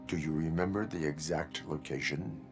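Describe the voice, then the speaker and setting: An actor playing a stern cyborg police officer in a video game, speaking in formal, stiff, by-the-book phrases. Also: male voice, very deep voice, steady tone, normal speed, music in the background.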